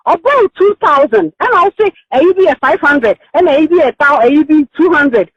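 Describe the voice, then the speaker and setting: A high, very animated woman's voice on a muffled recorded phone call. She has a Ghanaian accent and talks insistently, circling back and rambling as she makes her case in a heated private conversation. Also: normal speed, no echo. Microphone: telephone.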